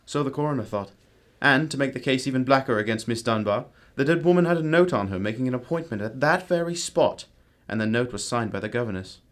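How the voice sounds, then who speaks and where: A man playing a composed, courteous, analytical gentleman detective with a formal, measured manner in an amateur radio drama. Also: medium pitch, animated, natural voice.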